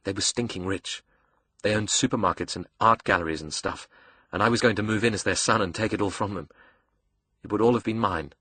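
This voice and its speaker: A man acts out a young male audiobook villain with a South African accent, bitter and cocky. His delivery is brash and sneering, with a forceful, husky edge and a cruel streak. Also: fairly low pitch. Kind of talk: audiobook character dialogue.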